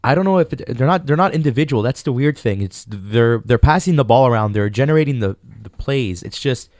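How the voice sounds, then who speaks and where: A man's voice: mid-range voice, lively intonation, brisk, darkish tone, no echo, clean studio sound. A man co-hosting a sports podcast, thinking aloud with frequent restarts. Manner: searching, restarts mid-thought. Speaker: podcast co-host. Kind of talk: casual sports chat.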